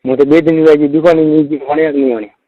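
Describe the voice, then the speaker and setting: A man's voice: on the high side, steady tone, quick pace, no echo. A man with a Marwari accent chats casually on the phone, often repeating short questions and phrases. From Rajasthan, India. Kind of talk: casual private phone chat. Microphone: telephone. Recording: muffled lo-fi phone call recording.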